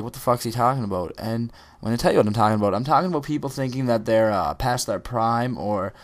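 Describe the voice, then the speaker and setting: A teenage boy giving a casual, rambling motivational talk over gameplay footage, with many ums and restarts. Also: mid-range voice. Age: teens. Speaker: gaming video creator.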